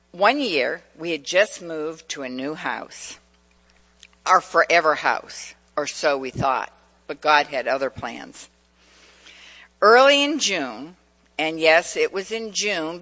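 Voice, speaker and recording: Woman preacher, Massachusetts accent, bright, long church reverb. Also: fairly low pitch. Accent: central Massachusetts. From New England. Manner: asks the congregation questions, personal anecdotes. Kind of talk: sermon.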